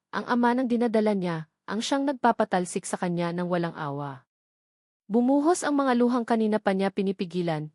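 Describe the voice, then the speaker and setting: A Filipino woman reads a scripted love drama as narrator. Her delivery is measured and sentimental, at a middle pitch. The sound is dry, with no room on it. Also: darkish tone, dry recording.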